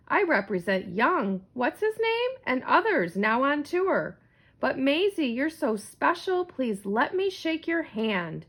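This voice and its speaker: A woman voicing a slick, flattering male talent agent in a storybook, with a smooth-talking sales pitch. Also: heavily acted.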